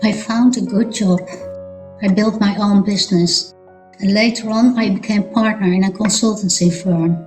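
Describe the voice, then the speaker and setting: A middle-aged woman gives a first-person testimony as narration for an animated film. Her voice sits at an average female pitch. Music plays clearly behind her words. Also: moderate pace, poor audio. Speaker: narrator telling own life story.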